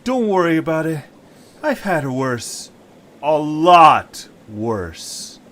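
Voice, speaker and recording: A man's voice: fairly high pitch, slow, exaggerated voice. A man voicing a young creature hero in an audio story, casual, reasoning and a little sardonic. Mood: wry, uneasy. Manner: casual, expressive.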